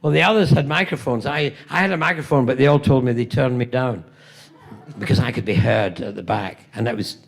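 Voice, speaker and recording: A gravelly older British man spins rambling, playful stories at a convention panel. His voice has a rough edge, and he throws in self-mocking jokes between tales, now and then coughing from a tickle in his throat. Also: medium pitch, fairly quick. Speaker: convention guest actor. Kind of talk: convention Q&A storytelling. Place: convention hall stage.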